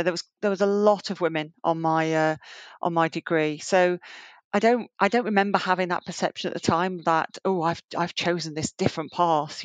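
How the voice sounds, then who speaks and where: A woman's voice: low voice, no echo. A middle-aged woman, a banking executive and guest in a remote interview, talking in a chatty way with many fillers and restarts. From Yorkshire, England.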